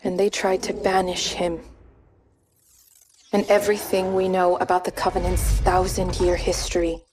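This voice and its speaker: A woman playing a grave, intense survivor in a game cutscene, speaking like someone telling a dark history. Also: lowish voice, flat delivery, moderate pace, background noise, natural voice. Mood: grave, ominous. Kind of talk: cinematic game cutscene acting.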